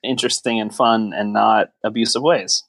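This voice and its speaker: A man's voice: lowish voice, fairly even intonation, moderate pace, vocal fry, fairly bright tone, no echo. A man co-hosting a casual podcast, joking in a sarcastic, teasing and mock-grandiose way. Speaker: podcast co-host. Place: recorded remotely over a voice chat app.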